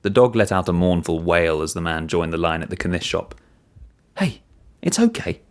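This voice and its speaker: A man reading a first-person short story as narrator, in an expressive storytelling manner. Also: sits low, a little fast, clean tone.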